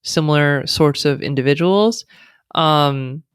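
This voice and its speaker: American woman guest, measured and explanatory, clean dry recording. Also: low-pitched, smooth, dry recording. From United States. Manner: thoughtful, speaks in long explanatory runs with fillers. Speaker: guest, diversity consultant. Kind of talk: conversational interview.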